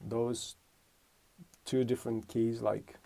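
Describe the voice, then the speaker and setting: A man's voice: mid-range voice, level tone, slow, vocal fry, warm tone. A man from Romania giving a casual music lesson, thinking aloud and correcting himself as he explains. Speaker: music teacher.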